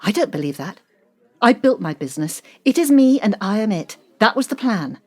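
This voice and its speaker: A woman's voice: mid-range voice, sing-song, moderate pace. An actress playing a proud, controlling middle-aged woman banker in an audio drama, assertive and dismissive. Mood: scornful, angry.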